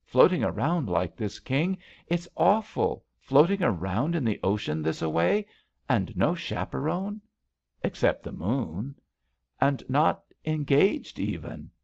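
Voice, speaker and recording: A man voicing a tearful, pleading young woman in love for an audiobook, halting and plaintive, in a slightly dull recording. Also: medium pitch, big pitch swings, vocal fry, darkish tone, husky, voice pushed up, exaggerated voice.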